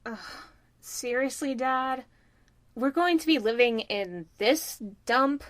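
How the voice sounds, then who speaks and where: Woman playing a slow, sarcastic teenage girl. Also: high voice, no echo, studio quality. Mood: disgusted. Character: sullen, sarcastic teenage daughter. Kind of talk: fan audio drama acting.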